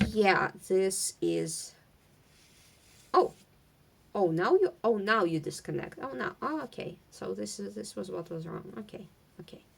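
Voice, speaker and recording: Slow, halting Ukrainian-accented woman chatting. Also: medium pitch, creaky, slightly rough. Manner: rambling, halting, many fillers and restarts; stutters at times. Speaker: vlogger. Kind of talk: casual chat while sorting craft supplies. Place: at home among craft supplies.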